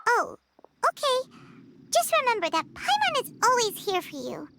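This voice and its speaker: A woman voicing a tiny, excitable childlike fairy companion in a video game. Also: very high-pitched, moderate pace, very noisy, exaggerated voice. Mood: eager, caring. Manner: excitable, speaks of self in third person.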